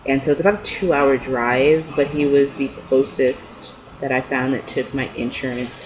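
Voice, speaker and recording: A man's voice: fairly even intonation, moderate pace. A young man chats casually and unscripted in a rambling, hesitant way, like a personal video blog. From California, United States. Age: young adult. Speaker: video blogger. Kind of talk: casual unscripted chat to camera. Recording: noisy low-quality amateur webcam-style audio.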